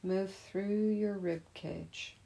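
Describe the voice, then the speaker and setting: Very slow, soothing woman guiding meditation. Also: mid-range voice, steady tone, gravelly. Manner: gentle, soothing, unhurried. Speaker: meditation teacher. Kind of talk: guided meditation.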